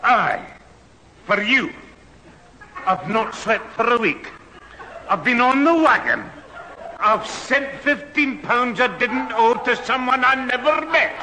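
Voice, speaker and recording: A man playing an irascible, long-suffering old grandfather with a Scottish accent in a 1970s radio sitcom, his voice husky. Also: extremely high pitch, animated, slow, pauses often, noisy background, heavily acted. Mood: indignant. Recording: reverberant old radio hall recording.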